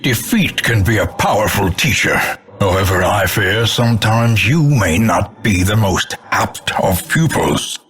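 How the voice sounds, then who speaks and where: An actor playing a grim, scornful older male announcer in a video game, grandiloquent and portentous, with a gravelly, husky voice. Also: fairly low pitch, expressive, unhurried, fairly bright tone, full character voice. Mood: scornful, gloating. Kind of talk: theatrical scripted game announcements.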